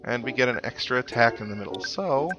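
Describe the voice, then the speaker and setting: A man with an average-pitched voice commentates on a video game in a casual, conversational way. His delivery is wry, with dry, mock-resigned asides. Game music plays clearly behind him. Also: animated, brisk. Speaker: video game let's play host.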